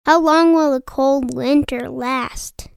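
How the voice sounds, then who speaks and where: A squeaky, timid little ant. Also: male voice, fairly even intonation, slow pace, vocal fry, no room sound, studio quality, full character voice. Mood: curious. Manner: stammering, hesitant. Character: small timid male ant, young. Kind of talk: storybook character voice.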